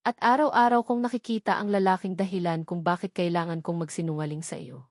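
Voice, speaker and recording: A woman's voice: lowish voice, steady tone, a little fast, dry recording, studio quality, lightly acted. A woman narrator voicing a polite, dutiful young working mother in an audiobook drama. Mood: forced cheer. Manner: respectful, courteous.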